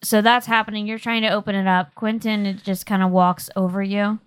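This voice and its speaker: Woman, game master, casual improvised narration, medium pitch, very little room sound. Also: little pitch movement, a little fast, clean tone, no echo. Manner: casual, conversational.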